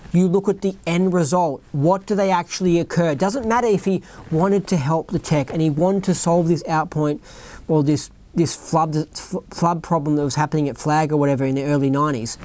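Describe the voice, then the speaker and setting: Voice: medium pitch, darkish tone. Rambling Australian podcaster reading aloud. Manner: rambling, keeps asking "right?" and "you know what I mean?". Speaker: podcast host. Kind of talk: reading a written account aloud with off-script asides.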